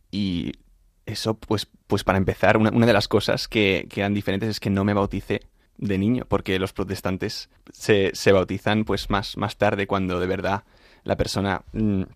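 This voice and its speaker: A man's voice: lowish voice, creaky, no room sound, studio quality. A young man with a Madrid accent giving an informal personal account as an interview guest, often repeating and restarting his phrases. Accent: Madrid Spanish. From Madrid, Spain. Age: young adult. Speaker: interview guest, composer and singer studying at university. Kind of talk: informal spoken testimony in an interview.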